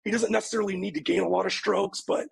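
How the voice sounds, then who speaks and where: A man's voice: sits high, fairly even intonation, quick pace, creaky, breathy edge. A man giving golf betting picks in casual chat, laid back with lots of fillers.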